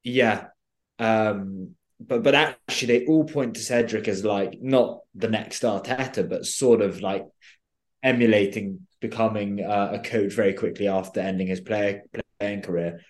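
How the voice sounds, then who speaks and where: Low-voiced man, stammering chat. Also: a little slow. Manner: hesitant, stammering restarts. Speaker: podcast guest. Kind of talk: casual football podcast chat.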